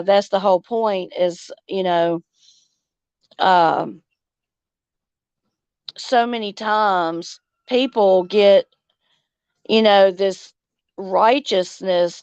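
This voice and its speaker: A woman's voice: medium pitch, darkish tone, smooth, no echo, muffled recording. A woman hosting a casual livestream chat outdoors on her phone, reading viewer comments and talking in a folksy way, calling people honey. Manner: folksy, calls listeners honey. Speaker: livestream host.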